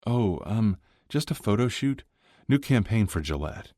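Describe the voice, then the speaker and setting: Man playing an earnest male athlete, raised pitch. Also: expressive, measured pace, warm tone, dry recording, studio quality. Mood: hesitant, sheepish. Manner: hesitant, sincere. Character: earnest, modest male pro hockey star in love.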